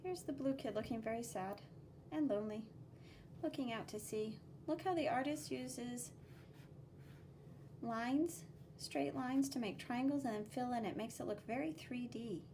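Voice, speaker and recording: A woman with a very high voice reads a picture book aloud for children as an art teacher. She takes her time, stressing key words in a sing-song way and explaining the drawings simply. There is faint noise behind her. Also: measured pace, warm tone. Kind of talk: expressive read-aloud with drawing commentary for children.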